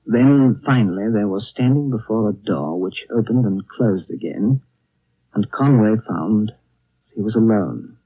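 Vintage narrow-band radio, low husky male voice, grave storyteller, deliberate, atmospheric. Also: no room sound. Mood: grave, hushed wonder. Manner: deliberate, atmospheric, pausing phrases. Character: grave, thoughtful male narrator retelling a friend's tale. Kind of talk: 1930s radio drama narration. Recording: dry, narrow-band old radio broadcast.

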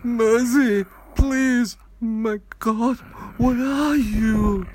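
A man's voice: very high-pitched, fairly even intonation, extremely slow, darkish tone, slight background noise, heavily acted. An actor playing a terrified man pleading for his life in a horror radio play. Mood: desperate pleading. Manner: pleading, frightened.

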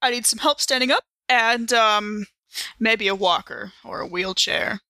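A woman's voice: fairly high pitch, a little slow, bright, forceful tone, dry recording. A woman playing a tabletop game with casual, joking banter.

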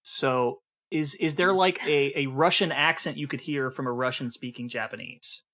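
Co-host: man, bright tone, eager and explanatory. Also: medium pitch, moderate pace. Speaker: podcast co-host. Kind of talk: casual chat.

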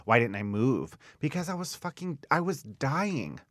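A man's voice: medium pitch, very animated, unhurried, fairly bright tone, no echo, clean studio sound. A man in his late thirties talking candidly on a podcast, speaking emphatically, with repetition and casual swearing. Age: late 30s. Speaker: podcast host. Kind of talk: candid personal conversation.

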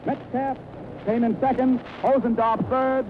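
A man's voice: extremely high pitch, little pitch movement, measured pace, background noise. Tinny 1930s male radio race commentator. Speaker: athletics commentator.

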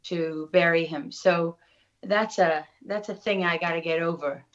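Female interview guest, middle-aged, South African accent, fairly low register, reflective, dull lo-fi sound. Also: a little slow. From Durban, South Africa. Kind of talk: conversational interview. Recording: slightly dull, lo-fi audio.

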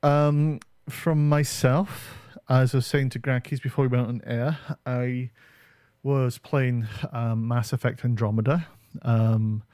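A man hosts a casual gaming podcast, rambling along with frequent ums and uhs. His pitch sits in an ordinary middle range. The sound is dry and close. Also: steady tone, slow, dark tone, no echo. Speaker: podcast co-host. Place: home setup on camera.

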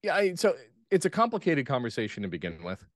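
A man talking casually and reflectively as an interview guest, phrasing his thoughts in measured pieces. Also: mid-range voice, huge pitch swings, no echo, studio quality. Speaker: guest playwright and actor. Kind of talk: casual discussion.

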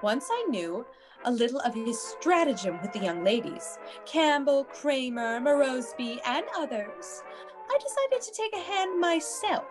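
A wry, busybody house mother type played by a woman in an old-style radio play. Her voice is very high and highly animated, arch and knowing. Music is clearly heard behind her. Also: measured pace, poor audio. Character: wry, meddling former sorority house mother, matchmaking confidante. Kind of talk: performance of a classic radio play.

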